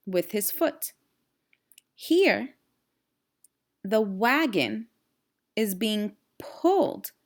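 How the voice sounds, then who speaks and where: Slow-paced woman teacher reading to children. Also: fairly high pitch. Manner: expressive, instructional, addresses young listeners directly. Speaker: teacher reading aloud to pupils. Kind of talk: children's storybook read-aloud with simple questions.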